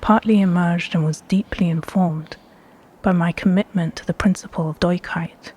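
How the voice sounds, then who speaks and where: A woman with a hushed, breathy voice guiding a meditation in measured, deliberate phrases with pauses between them. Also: lowish voice, fairly even intonation, rough recording. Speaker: meditation guide. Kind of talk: slow guided meditation narration.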